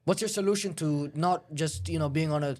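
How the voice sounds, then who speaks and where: Deadpan young male comedy host. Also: fairly high pitch, fairly quick. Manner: deadpan, provocative.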